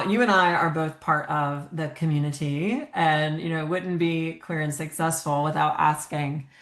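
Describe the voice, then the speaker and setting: A woman's voice: low-pitched, a bit bright. A woman hosting a podcast interview, talking conversationally and thinking aloud with fillers. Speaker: podcast host. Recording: echoey room.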